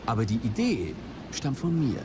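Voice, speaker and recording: An actor playing an eccentric visionary game creator in a German audio drama, musing in lofty, literary phrases. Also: male voice, medium pitch, wildly swooping pitch, moderate pace, music in the background. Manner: pronouncing, philosophical, literary.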